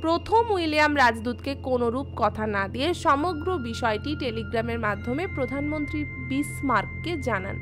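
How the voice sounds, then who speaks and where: A woman's voice: sits high, brisk, few pauses. A woman with a West Bengal Bengali accent presenting a scripted lesson to students, explaining directly to the listener. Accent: West Bengal Indian Bengali. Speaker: teacher, presenter of an educational channel. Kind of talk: scripted exam lesson and channel promotion, addressed to students. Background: music.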